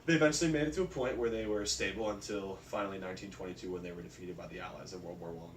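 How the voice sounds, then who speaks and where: Fast-talking man in a roomy recording. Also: low-pitched. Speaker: presenter of a research report. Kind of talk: informal spoken presentation. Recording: roomy, distant mic, faint background noise.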